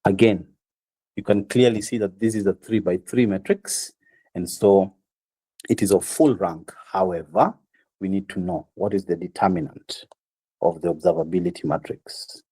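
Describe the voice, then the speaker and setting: A slightly hoarse man giving a university lecture, explaining step by step with frequent pauses and fillers. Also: low voice, steady tone, mellow. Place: classroom.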